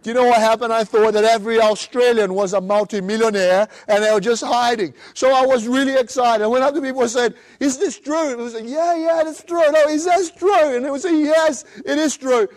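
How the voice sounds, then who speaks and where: A middle-aged man from Brazil with a Brazilian Portuguese accent, giving an animated seminar talk and acting out other people's voices. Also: very high-pitched, brisk, few pauses. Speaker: motivational speaker. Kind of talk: live seminar presentation.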